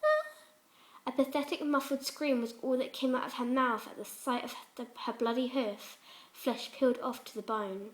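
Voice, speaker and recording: A woman's voice: on the high side, steady tone, moderate pace. A woman reading fan fiction aloud as a dramatic scripted story narration.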